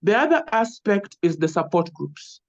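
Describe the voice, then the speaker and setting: A woman's voice: fairly low pitch, very animated, normal speed. A woman from Kenya with a Kenyan accent, whose first language is Luo, speaking earnestly as a webinar panelist and laying out her points one by one. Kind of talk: online panel talk. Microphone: video call. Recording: dull lo-fi video call audio.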